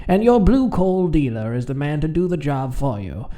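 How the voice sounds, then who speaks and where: An actor playing a courteous heating expert, giving a formal, measured 1940s-style radio advertisement. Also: male voice, on the high side, big pitch swings, warm tone.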